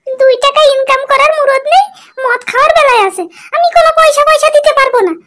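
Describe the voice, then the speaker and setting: A put-upon cartoon wife with an extremely high, squeaky, almost childlike voice. She is foul-mouthed and dramatic, made for broad animated comedy. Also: fast talker. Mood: scornful defiance. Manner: scolding, wailing, dramatic.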